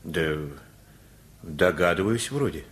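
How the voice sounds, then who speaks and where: A low-pitched older man in a radio drama. He is a simple-hearted working-class father, anxious and folksy, feeling his way through each thought with homely, hesitant phrases. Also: animated, slow pace. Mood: musing, subdued. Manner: folksy, colloquial, hesitant, with many fillers. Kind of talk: radio play acting.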